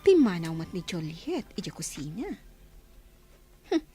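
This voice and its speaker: A woman's voice: fairly low pitch, sing-song, measured pace, mellow, husky, faint music behind, full character voice. A woman acting a young, scheming village houseguest in a radio drama, sly and confiding, with an Ilocano accent. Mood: sneaky.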